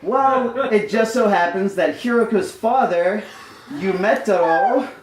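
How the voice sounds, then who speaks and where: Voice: mid-range voice, expressive, takes it slowly, slight background noise. A comedy storyteller reading a scripted tale live in an amused, mock-formal storybook manner. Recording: echoey live room.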